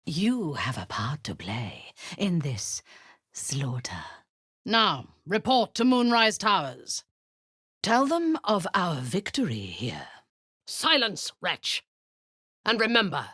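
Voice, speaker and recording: Low, husky drow warlord, cold and cruel, slow and deliberate, swinging from hissed threats to sharp barked orders. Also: female voice, no echo, clean studio sound, heavily acted. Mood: scornful. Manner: cold commands, often dropping to a menacing whisper. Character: cruel zealous drow woman warlord, commanding. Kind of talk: video game voice acting.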